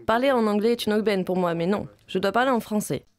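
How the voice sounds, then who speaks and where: A young woman from Vietnam, a native Vietnamese speaker, calmly narrating a personal video diary as a visiting film student. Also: mid-range voice, quick pace. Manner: calm, reflective, first-person storytelling.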